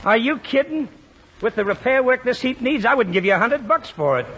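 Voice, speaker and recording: A man's voice: extremely high pitch, expressive, brisk, bright, forceful tone, full character voice. A man playing a slippery, mock-sincere American garage mechanic in a vintage radio comedy. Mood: brisk haggling. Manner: wheedling, mock-sincere, hesitating while totting up. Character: fast-talking, slippery garage mechanic, shamelessly overcharging. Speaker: actor. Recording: noisy muffled old radio broadcast, audience behind.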